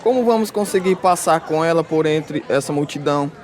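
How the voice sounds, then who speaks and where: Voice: medium pitch, brisk, darkish tone, lightly acted. An actor as a distressed schoolboy, faint crowd behind. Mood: anxious questioning. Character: Misha, distressed schoolboy grieving his sister. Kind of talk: radio drama acting.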